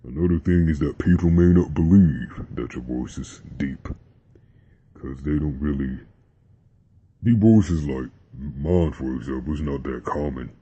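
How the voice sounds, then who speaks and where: Casting: young man with an extremely deep, husky voice, laid-back vlog chat, dull lo-fi sound. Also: a little slow. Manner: relaxed, rambling, with fillers and slang. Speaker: vlogger. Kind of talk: casual talk to camera about deep voices.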